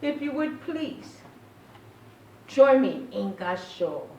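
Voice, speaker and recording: A woman giving an informal Buddhist talk as a minister, speaking haltingly and pausing often mid-phrase. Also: sits high, sing-song, a little mellow. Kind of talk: informal Dharma talk with short chanting. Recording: echoey, distant mic, faint background noise.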